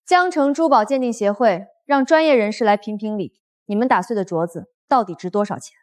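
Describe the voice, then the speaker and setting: A woman's voice: medium pitch, lively intonation, a little fast, no echo, clean studio sound, lightly acted. An actress playing an imperious, protective wealthy woman in a short drama. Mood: cool, commanding. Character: imperious, protective wealthy woman taking a wronged daughter's side. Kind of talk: Chinese short drama acting.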